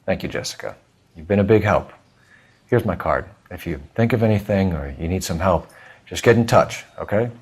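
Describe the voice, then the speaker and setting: A man's voice: deep voice, expressive, moderate pace, darkish tone, husky, heavily acted. A man playing an earnest, courteous 1940s police detective in a video game, with a polite, formal manner. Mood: kind, official.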